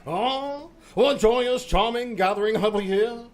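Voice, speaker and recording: A man's voice: very high voice, measured pace. An actor playing a flamboyant, caped Frenchman, effusive and florid, in an old-time radio play. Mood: gushing, mock-offended. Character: flamboyant caped Frenchman, a detective's overplayed disguise.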